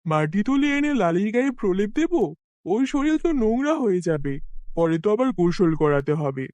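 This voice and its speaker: A man playing a poor, soft-hearted village farmer in an animated fairy tale, speaking in an earnest, affectionate way. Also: high voice, moderate pace, dry recording. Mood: puzzled.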